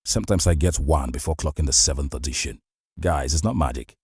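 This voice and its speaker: Very deep, husky Nigerian man. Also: animated, a little fast, darkish tone, no room sound, clean studio sound. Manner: conversational, motivational coaching. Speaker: voice-over artist hosting a show. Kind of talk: advice monologue to listeners.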